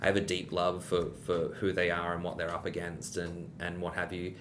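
A man's voice: monotone, brisk. A young adult man, a guest in a casual interview, talking in a rambling way with many restarts and fillers. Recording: rumbling background noise.

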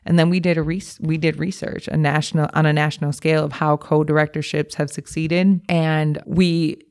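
A woman's voice: low-pitched, level tone, moderate pace, a little mellow. A woman from Milwaukee, an executive director, answering interview questions in a candid, emphatic, slangy way. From Milwaukee, USA.

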